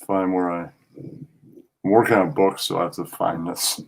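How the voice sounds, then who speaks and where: A man's voice: deep voice, steady tone, unhurried, creaky, poor audio. A man speaking as a show guest in a plain, unpolished manner. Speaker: show guest reading his own poem. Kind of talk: plain poem recital and casual remarks.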